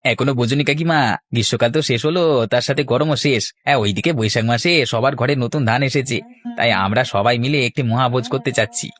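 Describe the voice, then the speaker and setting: A man's voice: medium pitch, fast talker, a bit bright, no echo, lightly acted. A man voicing a cheeky village youth in a cartoon, cajoling and pleading. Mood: eager explaining. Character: cheeky village youth collecting money for a feast. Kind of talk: Bengali cartoon voice acting.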